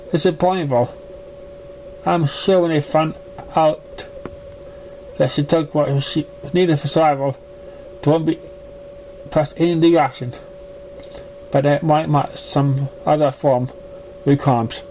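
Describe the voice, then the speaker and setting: A man with a slightly high, soft-edged mellow voice reads fiction aloud in a halting, stumbling way. It is an amateur recording with noticeable background noise. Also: fairly even intonation, rough recording. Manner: stumbling, halting reading with misread words. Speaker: amateur fan fiction reader. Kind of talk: reading a story aloud.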